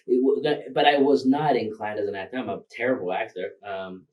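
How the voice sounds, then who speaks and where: A man's voice: lowish voice, quick pace, darkish tone, distant mic. A man from Rwanda, Kinyarwanda his first language, talking loosely as a filmmaker guest with many fillers and laughs. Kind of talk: casual interview.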